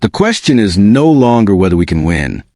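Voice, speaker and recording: A man voicing a stern German general who speaks quietly and without emotion. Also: on the low side, very animated, normal speed, no echo, clean studio sound. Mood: cold.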